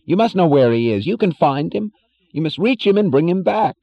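A panicked middle-aged executive, put on by a man reading aloud, whining in shrill, frantic bursts. The voice jumps far above the reader's own and swings wildly up and down. A dull, muffled recording. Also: sing-song, brisk, creaky, no echo. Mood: pleading. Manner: shrill, frantic, pleading outbursts.

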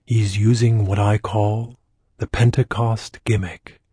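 A man voicing a calm, knowing male trickster sage in an audiobook, explaining things levelly with a husky tone. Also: lowish voice, slow, no echo, studio quality, full character voice. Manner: flat, level, explaining calmly. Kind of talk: audiobook character voice.

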